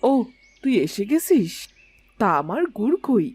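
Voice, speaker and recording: Doting village aunt, lively and swooping actress. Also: female voice, fairly high pitch, unhurried, slightly rough, full character voice. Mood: chatty, proud. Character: doting older village aunt, fond and modest. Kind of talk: animated cartoon story voice acting. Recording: music behind the voice.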